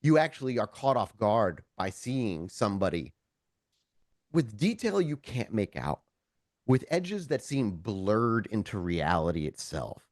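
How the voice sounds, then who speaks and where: Animated man running a tabletop game. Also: on the low side, unhurried, no echo, clean studio sound. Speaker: game master. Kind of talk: narrating a tabletop roleplaying game, conversational.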